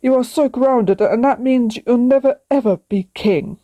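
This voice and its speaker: A woman's voice: fairly high pitch, a little slow, no echo, highs cut off, close to an everyday voice. A woman voicing a cruel, scornful stepmother dragon in a read-aloud storybook. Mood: angry.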